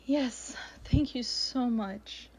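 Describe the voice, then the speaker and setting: A woman playing a mysterious stranger in a radio drama, speaking in a polite, measured way. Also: fairly high pitch, slightly rough, heavily acted. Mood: pleading. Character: mysterious woman posing as applicant and desperate homeless stranger.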